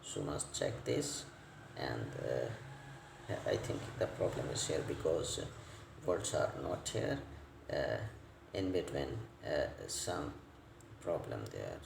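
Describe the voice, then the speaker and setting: A man giving an unscripted hands-on repair tutorial as a technician, speaking non-native English in halting, piecemeal phrases and repeating words. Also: very deep voice, lively intonation, creaky. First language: not English. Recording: roomy echo, faint background noise.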